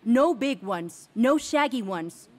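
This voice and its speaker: A woman's voice: on the high side, lively intonation, slow, darkish tone. An actress playing a stern mother who lays down rules in a curt, firm way.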